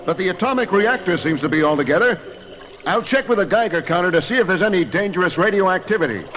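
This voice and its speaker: An actor playing a knowledgeable, steady scientist father, speaking in an earnest, explanatory way in a vintage cartoon adventure. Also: high-pitched, fast talker, noisy background. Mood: urgent. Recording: prominent music behind the voice.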